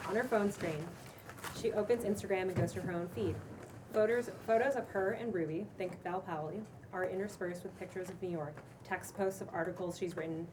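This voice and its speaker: Lowish-voiced woman reading stage directions, distant mic. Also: fairly quick. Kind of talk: reading screenplay directions aloud. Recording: distant mic, noisy room.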